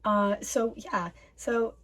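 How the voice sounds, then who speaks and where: Young woman, raspy sick voice, casual rambling vlog chat. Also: mid-range voice, steady tone, slow pace, a bit bright. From North America. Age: 20s. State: raspy from bronchitis. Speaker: YouTube host.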